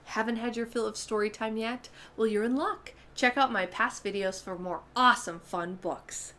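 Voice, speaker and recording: A woman hosting a story time, reading a picture book aloud to young children with deliberate, emphatic phrasing. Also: a little high, fairly bright tone. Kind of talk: expressive children's read-aloud narration.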